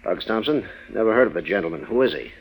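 Rich snooty villain in a 40s radio play, lowish husky voice, indignant. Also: male voice, lively intonation, fast, poor audio. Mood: evasive, bitter. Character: haughty, indignant wealthy male crime mastermind. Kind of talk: 1940s radio drama acting.

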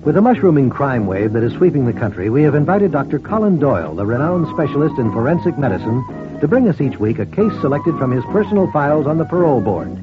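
A man's voice: medium pitch, very animated, quick pace, music in the background, lightly acted. A man playing a solemn crime-series announcer, formal and dramatic, in scripted vintage radio style. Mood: grave, formal. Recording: thin, tinny old radio recording.

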